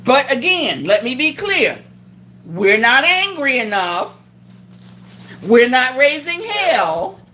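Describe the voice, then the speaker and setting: Animated, indignant woman hosting a livestream. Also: high-pitched, slow pace, long pauses, a little hiss or hum. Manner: profane, indignant, acts out quoted voices. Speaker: livestream host. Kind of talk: heated political chat on a livestream.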